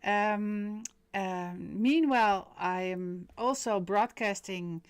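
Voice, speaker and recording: A woman's voice: mid-range voice, takes it slowly, smooth, dry recording. A middle-aged woman with a Dutch accent, whose first language is Dutch, hosting a casual live stream as a medium and talking hesitantly with many fillers and restarts. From Netherlands.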